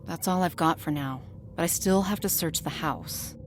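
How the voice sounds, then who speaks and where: A woman's voice: lowish voice, normal speed, a little hiss or hum, natural voice. A woman playing a cold, obedient cult follower in an audio drama, submissive and matter-of-fact.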